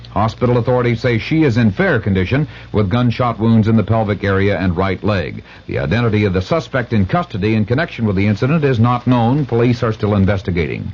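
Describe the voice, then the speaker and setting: Husky American male radio newsreader, muffled sound. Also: medium pitch, a little mellow. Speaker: local radio news anchor. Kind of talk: scripted radio news reading. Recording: muffled 1970s AM radio broadcast.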